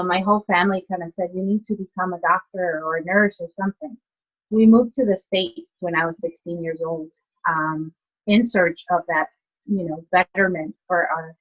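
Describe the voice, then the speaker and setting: Low-voiced woman from Ecuador, muffled call audio. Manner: chatty, many "you know" and "like". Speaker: guest, nurse and healer. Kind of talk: conversational storytelling.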